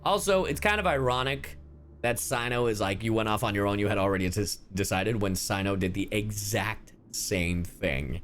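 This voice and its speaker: A man's voice: fairly low pitch, normal speed, a bit bright, a little hiss or hum. A man doing live game reaction commentary, swearing freely and bantering in exclamations. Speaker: streamer and voice actor. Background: game music.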